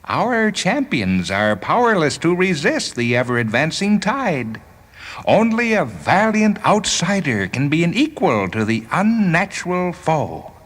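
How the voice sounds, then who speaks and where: A man voicing an alien guardian sphere, declaiming in solemn, archaic, drawn-out phrases for a science fiction story. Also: on the high side, big pitch swings, a bit bright, voice pushed up, heavily acted. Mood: grandiose, solemn. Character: alien guardian sphere, grandiose herald turned hustling fight manager.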